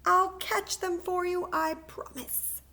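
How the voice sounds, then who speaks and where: A woman's voice: extremely high pitch, level tone, slow pace, bright, forceful tone, pitched above the performer's own voice, heavily acted. A woman voicing an anxious, earnest young male fox in a children's storybook, worried and pleading. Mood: alarmed, pleading.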